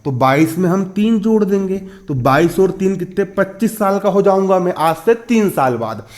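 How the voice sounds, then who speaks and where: A man's voice: high-pitched, expressive, moderate pace, a little mellow. A man in his twenties teaching a school maths lesson step by step, repeating himself, asking questions and answering them himself. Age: 20s. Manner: repetitive, asks and answers own questions, checks understanding.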